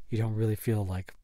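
A man playing an apologetic, guilt-ridden boyfriend in an intimate audio roleplay, halting and breathy, often near a whisper, close on the microphone. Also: lowish voice, mellow, no room sound, lightly acted. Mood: resigned, sorry. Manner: halting, stammering, earnest pleading. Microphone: close studio condenser microphone.

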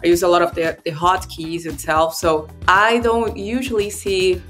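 A woman's voice: lowish voice, normal speed, soft background music. A young woman whose first language is Brazilian Portuguese, chatting casually on a video call from home about her work tools. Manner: relaxed, conversational, frequent "like" fillers. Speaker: developer relations guest. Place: home room.

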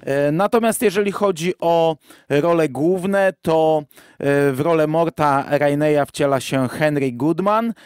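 Higher-voiced male podcast host. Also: slow pace, a little mellow, clear, resonant tone, dry recording, studio quality. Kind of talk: casual podcast talk.

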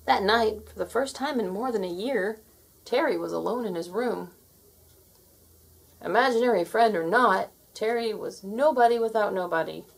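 Woman, storybook reader, expressive narration for kids, gravelly, raspy edge. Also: mid-range voice, normal speed. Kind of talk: expressive scripted read-aloud for children.

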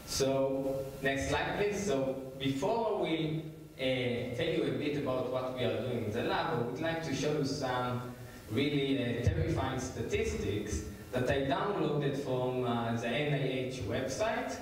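A man in his forties with an Israeli accent explains his research plainly and steadily to an audience. His voice sits at a mid pitch. It rings through a big hall and sounds distant. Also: unhurried, a little hiss or hum. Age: 40s. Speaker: scientist giving a talk. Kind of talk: lecture presentation. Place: large theater stage. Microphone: handheld mic. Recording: echoey hall from far off.

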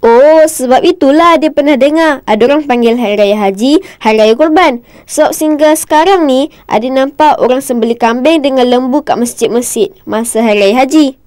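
A woman's voice: high voice, brisk, darkish tone, dry recording, clean studio sound, lightly acted. A woman voicing an inquisitive, cheeky little child in a cartoon, pert and questioning, with a Singaporean Malay accent. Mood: curious. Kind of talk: cartoon voice acting.

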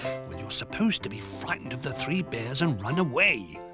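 A man voicing a big elderly teddy bear in a children's story, deliberate and gently authoritative. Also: medium pitch, big pitch swings, over music, voice pushed up, natural voice. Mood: firm. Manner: slow, deliberate.

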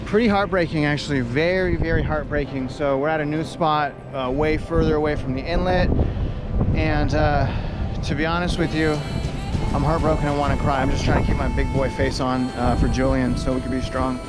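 The guy narrating his own beach fishing vlog, a lightish male voice, slangy and easygoing, with music loud underneath. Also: a little high, fairly even intonation, a little fast. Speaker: fishing vlogger. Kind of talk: casual outdoor vlog chat. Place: open windy beach, sometimes inside a canopy tent.

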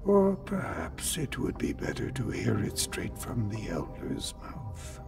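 A man's voice: on the low side, very animated, slow, vocal fry, distant mic, over music, full character voice. A man playing a solemn old android leader in a video game, speaking in a grave, cryptic, formal way. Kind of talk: cinematic video game dialogue.

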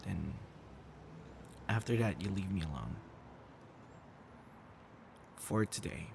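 Man acting a slow-talking, hesitant, guarded young guy. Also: deep voice, expressive, creaky, noisy background, heavily acted. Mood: irritated, evasive. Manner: halting, hesitant, stammering. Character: busy, guarded young man, awkward with close friend. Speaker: audio roleplay performer. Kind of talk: intimate ASMR boyfriend roleplay acting.

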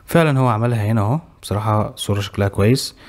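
Fast-talking Egyptian man explaining software. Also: lowish voice. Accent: Egyptian Arabic. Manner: brisk, explanatory, walks through each click. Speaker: tech tutorial host. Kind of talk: screen-recorded software tutorial.

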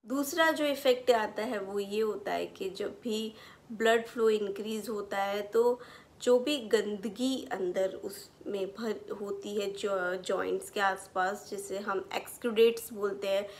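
A woman's voice: medium pitch, slow pace. A woman in her thirties with an Indian accent, giving an informal explanatory health talk and mixing in English medical terms. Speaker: physiotherapist presenting health advice. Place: small furnished living room, indoors.

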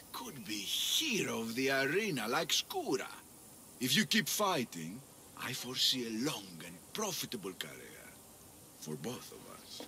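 A man's voice: medium pitch, sharp tone, slight background noise, heavily acted. An actor playing a scheming male arena promoter who talks in a sly, wheedling way.

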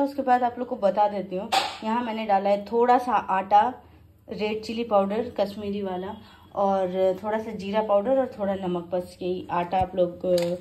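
A young woman with an Indian Hindi accent talks at a mid pitch into a phone held at arm's length, walking viewers through her cooking and tidying. Her delivery is chatty and explanatory. A faint hum of noise sits under the home recording. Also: darkish tone. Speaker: family vlogger. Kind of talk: casual home vlog narration. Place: home kitchen and bedrooms, indoors. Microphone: handheld phone at arm's length.

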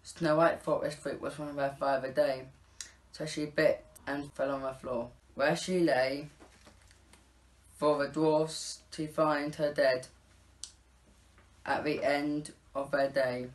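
A man's voice: fairly high pitch, level tone, slow, long pauses. A young man reading a scripted rhyming pantomime story aloud as its narrator.

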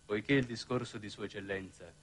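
Sober, low-voiced official aide. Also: male voice, fairly even intonation, brisk, fairly bright tone, breathy edge. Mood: formal report. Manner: clipped, formal. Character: sober, matter-of-fact official aide reporting grim news. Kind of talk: 1960s Italian television drama acting.

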